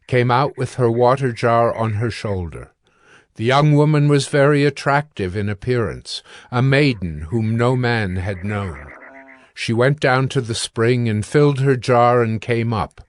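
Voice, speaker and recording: A man narrating a dramatized scripture reading in a measured, formal, scripted style. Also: medium pitch, no room sound.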